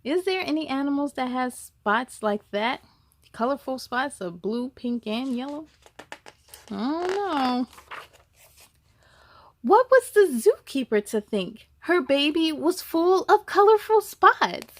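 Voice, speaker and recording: High-pitched, unhurried woman reading a children's story. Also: slight background noise. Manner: animated, exclaiming, gasping and musing, asking listeners questions. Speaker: story-time host reading a picture book aloud to children. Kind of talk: expressive children's read-aloud with chatty asides.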